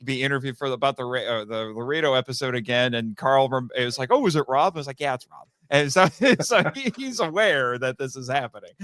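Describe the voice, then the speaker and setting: A man's voice: mid-range voice, expressive, brisk, few pauses, fairly bright tone, dry recording. A man talking casually as a guest on a fan podcast, telling stories and acting out quoted remarks.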